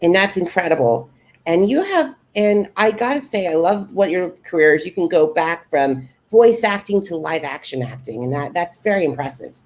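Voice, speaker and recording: A woman hosting an interview podcast, speaking haltingly with stumbles and repeated words. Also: lowish voice, lively intonation. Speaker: podcast host. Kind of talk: podcast interview, reading introduction and questions.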